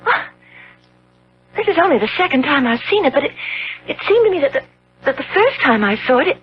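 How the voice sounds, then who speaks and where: A woman's voice: sits high, sing-song, a little fast. An actress playing a devoted, anxious young fiancée in a 1970s radio drama, earnest and hesitant in her melodramatic delivery. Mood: uneasy. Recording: dull, noisy old radio broadcast.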